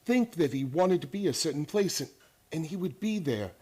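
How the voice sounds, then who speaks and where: A man's voice: a little high, expressive, normal speed, warm tone, slightly rough. An actor playing an intense, idealistic young psychology teacher in a radio drama, formal and earnest as he lectures. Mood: fervent. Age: young adult.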